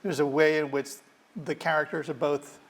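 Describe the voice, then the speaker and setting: Higher-pitched guy with a gravelly voice, chatty moderator, keeps repeating words. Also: flat delivery, moderate pace. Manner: talkative, repeats words, leads questions. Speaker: curator and moderator. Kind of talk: onstage interview. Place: auditorium.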